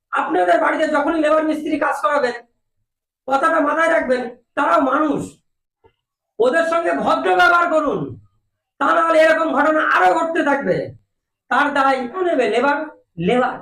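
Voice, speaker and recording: A man voicing a loud, melodramatic, sensationalist TV news anchor in a comedy cartoon. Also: very high-pitched, moderate pace, pauses often, distant mic. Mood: preachy. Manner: shouty, melodramatic announcing. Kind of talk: parody breaking-news delivery in a comedy cartoon. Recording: roomy echo, faint noise, off-mic.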